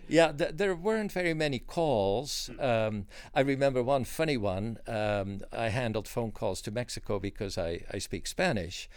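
A man's voice: medium pitch, normal speed, few pauses, clear, resonant tone, no room sound, clean studio sound. Older man recalling his old job. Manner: reminiscing, detailed, frequent uh fillers. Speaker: interviewee, former switchboard operator. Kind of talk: conversational storytelling.

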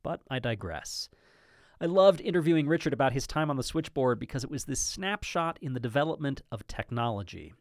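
A man hosting and narrating a podcast in a measured, slightly wry scripted style. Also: medium pitch, lively intonation, no room sound, studio quality.

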